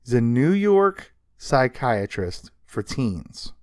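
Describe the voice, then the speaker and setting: An animated male podcast co-host tells stories in a casual, comedic style, swearing freely. His pitch swings widely as he goes, and the sound is dry and close, with very little room around it. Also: slow pace, no echo. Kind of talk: casual comedic history chat from notes.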